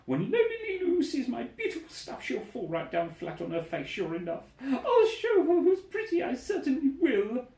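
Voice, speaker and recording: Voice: high-pitched, huge pitch swings, moderate pace, hardly any pauses, dark tone, voice pushed up, heavily acted. A reader voicing a vain, jealous little girl bird who whines and squeals, in a roomy recording. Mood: gleeful. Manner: whiny, squealing. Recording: roomy echo.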